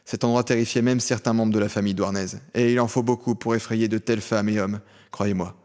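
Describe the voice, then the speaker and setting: A man's voice: fairly low pitch, fairly even intonation, a little fast, a little mellow, natural voice. An actor playing a tormented criminal who tells his own story in a solemn, literary way in an audio drama. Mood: brooding. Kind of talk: scripted audio drama narration and dialogue.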